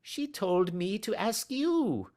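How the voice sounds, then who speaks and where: A man's voice: lively intonation, takes it slowly, a little mellow, full character voice. A man reading aloud and voicing a nervous, frightened little man with a quavering, whining voice. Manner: high quavering whine.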